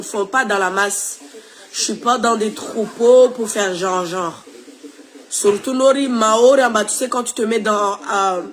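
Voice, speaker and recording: A woman, recovering from flu, talking casually and opinionatedly to her followers while switching between languages. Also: medium pitch. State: recovering from flu, tired-sounding. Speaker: social media creator. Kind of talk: casual talk to followers, mixing in Shimaore.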